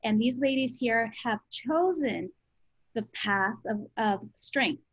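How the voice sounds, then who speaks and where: Female host, higher voice, dry sound, conversational and upbeat, drops in Spanish phrases. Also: expressive, takes it slowly, breathy edge, no room sound. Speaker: podcast host. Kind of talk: conversational podcast hosting with Spanish phrases mixed in.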